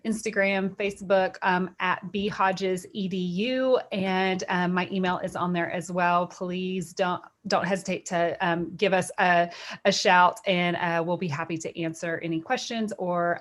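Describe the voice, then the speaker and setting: Middle-aged woman, Texan accent, lowish voice, chatty webinar talk, lots of ums. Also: fairly even intonation, unhurried. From Texas. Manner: chatty, many fillers and restarts. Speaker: webinar co-presenter. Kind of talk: casual webinar presentation.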